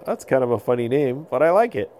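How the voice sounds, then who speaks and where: A man voicing a gentle, polite little boy adventurer, hesitant and earnest, in a children's story. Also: medium pitch, sing-song, quick pace, slight background noise, pitched above the performer's own voice, lightly acted. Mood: curious.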